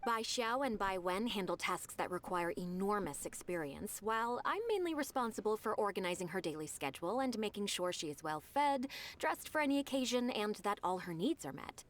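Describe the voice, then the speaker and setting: A woman's voice: mid-range voice, animated, fairly quick, few pauses, natural voice. Actress as an earnest, devoted lady's secretary. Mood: businesslike. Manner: polite, precise, earnest explaining. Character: devoted, formal female secretary, earnest, eager admirer of her lady. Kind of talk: video game voice acting.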